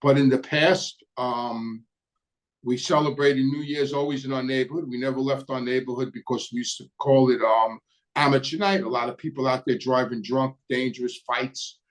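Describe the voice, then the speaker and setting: An older man with a New York accent hosts a podcast, talking casually to his viewers in short, plain-spoken phrases with frequent pauses. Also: medium pitch, steady tone. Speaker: podcast host.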